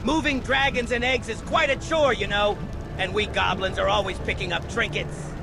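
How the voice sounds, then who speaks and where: A tiny, treacherous male goblin, squeaks and creaks in an extremely high voice. He gloats and taunts in sing-song repetitions. It sounds like a fantasy game cutscene with music underneath. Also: fairly even intonation, moderate pace, rough recording. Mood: mocking glee. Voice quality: shrill, creaky. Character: gleeful, treacherous little male goblin schemer. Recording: loud music behind.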